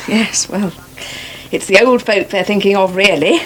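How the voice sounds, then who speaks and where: An actress playing a sarcastic, well-to-do English wife with an upper-middle-class accent in a radio soap. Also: female voice, medium pitch, normal speed, husky, lightly acted. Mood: arch. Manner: arch, sarcastic. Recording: slightly noisy 1980s radio broadcast.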